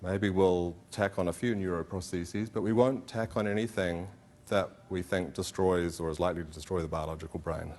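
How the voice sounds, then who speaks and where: A man's voice: deep voice, steady tone, normal speed, a little mellow. A middle-aged man giving a philosophy conference talk, conversational and hedging, with many fillers and restarts. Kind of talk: academic conference presentation.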